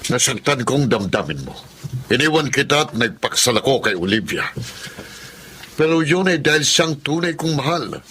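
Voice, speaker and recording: An earnest, reproachful middle-aged father played by a man in an audio melodrama. The voice is mid-pitched and sharp-edged, confrontational, with faint noise behind it. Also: big pitch swings, normal speed. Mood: remorseful, firm. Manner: emphatic, confrontational. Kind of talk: melodramatic drama acting.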